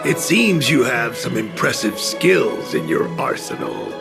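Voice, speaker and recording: An actor playing a proud, fanatical cult leader and martial artist in a video game, speaking in a pompous, deliberate, menacing way. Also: male voice, medium pitch, huge pitch swings, gravelly, over loud music, heavily acted. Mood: appraising. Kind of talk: dramatic video game voice acting.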